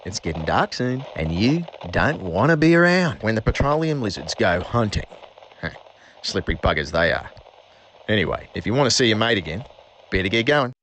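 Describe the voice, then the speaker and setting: A man's voice: lowish voice, very animated, moderate pace, a bit bright, slight background noise, low-quality recording. A man with an Australian accent playing a pompous, cheeky mole-creature king in an audio drama, boastful, mocking and full of slang. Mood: brisk warning. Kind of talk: audio drama villain monologue.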